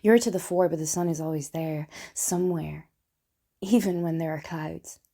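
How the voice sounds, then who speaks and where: A woman playing a lonely, wry, broken woman confiding in Death in an intimate, hesitant theatrical monologue, with a husky, breathy voice. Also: deep voice, warm tone. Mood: tentatively hopeful. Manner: confessional, self-deprecating, hesitant. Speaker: writer and performer of a one-woman play.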